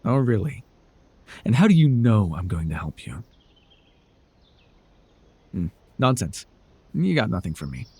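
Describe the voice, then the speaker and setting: A man playing a proud, sardonic telepathic supervillain with hidden tenderness in an ASMR roleplay, theatrical and condescending with a husky voice. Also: on the low side, big pitch swings, measured pace, long pauses, dark tone, no echo, full character voice. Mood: defensive, evasive. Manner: theatrical, grandiose, flustered, dryly condescending.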